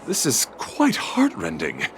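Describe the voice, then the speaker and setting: A man voicing a chivalrous, high-minded knight devoted to beauty in a video game, speaking formally and with theatrical earnestness. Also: huge pitch swings, slow, slight background noise, exaggerated voice. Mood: moved, resolute. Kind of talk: video game character acting. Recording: game music behind the voice.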